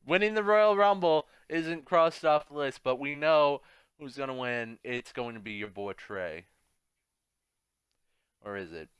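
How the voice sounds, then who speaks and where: A man hosting a gaming video with casual, rambling commentary, repeating himself and swinging between muttering and shouting. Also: medium pitch, a little slow, clean tone, dry recording.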